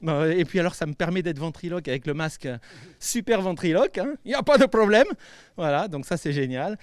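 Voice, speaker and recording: A man chatting casually about his love of filming and puppetry. His voice is fairly high and moves around a lot, with an enthusiastic, easygoing manner. The sound is close and dry. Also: animated, fairly quick, clean tone, no echo. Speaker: ventriloquist and video maker. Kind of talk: casual chat.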